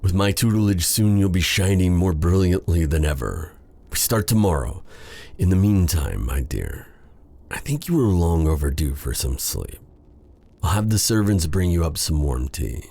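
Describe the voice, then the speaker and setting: A man playing a feared dark sorcerer with a tender side, in a courtly, romantic fantasy ASMR roleplay style. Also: sits low, sing-song, normal speed, gravelly, heavily acted. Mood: flustered, tender. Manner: courtly, formal phrasing with endearments. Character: feared dark sorcerer, tender and flustered toward his captive. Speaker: voice actor in audio roleplay.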